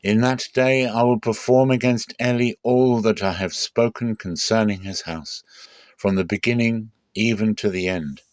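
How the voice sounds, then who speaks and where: Guy playing god handing down judgment, stern and solemn, lowish voice, dry sound. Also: dry recording, close to an everyday voice. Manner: solemn, declarative.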